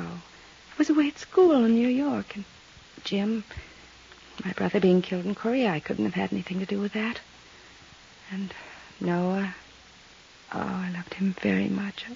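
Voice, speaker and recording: Fragile, haunted widow in old radio drama actress. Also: female voice, mid-range voice, vocal fry, a little mellow, natural voice. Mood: anguished, pleading. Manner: hesitant, wistful, drifting. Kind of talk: 1950s radio drama acting. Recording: dull, hissy 1950s radio recording.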